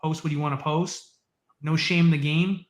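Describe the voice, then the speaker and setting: A male podcast guest who talks in a relaxed, opinionated way. His voice sits a little high for a man and carries a roomy echo. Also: normal speed. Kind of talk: casual podcast chat.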